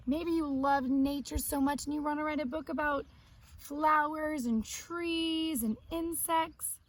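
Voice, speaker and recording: High voiced lady teacher doing read aloud and lesson stuff for little kids, bit of noise behind her. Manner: simple step-by-step explaining to young children. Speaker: elementary school teacher.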